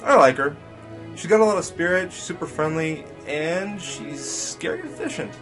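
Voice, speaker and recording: A man's voice: on the high side, sing-song, normal speed, music in the background, poor audio. A man playing an easygoing, friendly pony colleague in an audio drama, reassuring and supportive.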